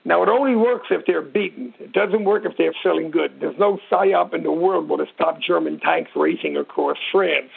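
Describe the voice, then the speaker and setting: A man's voice: fairly high pitch, normal speed, vocal fry, few pauses, slightly rough. An older American man explaining things informally as an expert interview guest, blunt and emphatic, in short repeated phrases. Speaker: military expert guest, retired sergeant major. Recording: tinny narrow phone-line radio sound.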